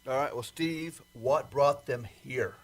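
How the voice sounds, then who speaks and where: A man's voice: medium pitch, slow. A man acting in an old-time radio drama as a doubting, exasperated colleague of a pilot, with an impatient manner. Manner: skeptical, impatient.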